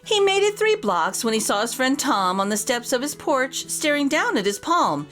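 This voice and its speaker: A woman reading a rhyming children's story aloud as an expressive storyteller. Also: high voice, moderate pace. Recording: voice over background music. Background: music.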